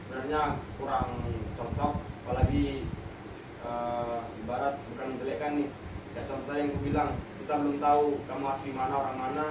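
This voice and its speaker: A young man, a university student from North Sumatra, chatting casually as a podcast co-host and rambling with many fillers. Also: mid-range voice. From Batak, North Sumatra. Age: young adult. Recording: echoey room, far from the mic, loud background noise.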